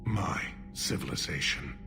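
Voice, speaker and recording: A man playing a weary but resolute alien military leader in a video game, formal, solemn and measured. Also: very deep voice, animated, creaky, over music, full character voice. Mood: grim, sorrowful. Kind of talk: dramatic video game acting.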